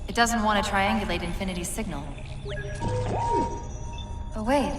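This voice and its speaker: A woman playing a quick-witted, wry female AI companion in a video game cutscene, articulate and expressive. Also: mid-range voice, big-room sound, loud music behind the voice, lightly acted. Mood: wry, focused.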